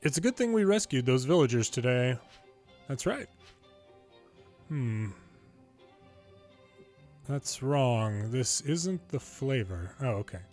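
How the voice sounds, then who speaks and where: A man reading story dialogue aloud from a video game in a playful way, switching pitch between characters and adding his own commentary. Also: big pitch swings, takes it slowly, long pauses, a little mellow. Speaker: longplay host reading a game's dialogue aloud. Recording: music behind the voice. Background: game music.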